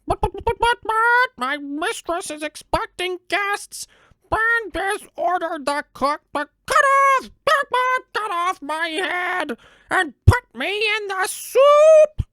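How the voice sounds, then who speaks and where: A frantic storybook rooster, pitched way up high. He stammers in alarm and swings wildly through his phrases, full of panic. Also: sing-song, slow pace, bright, forceful tone, dry recording, full character voice. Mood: panicked lament. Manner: stammering, repeating words in alarm. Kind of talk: animated storybook character voice.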